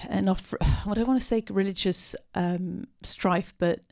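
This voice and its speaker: A woman speaking unscripted at a post-film discussion, answering audience questions with many pauses, ums and restarts. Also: mid-range voice, fairly even intonation, gravelly, a little mellow. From part Syrian.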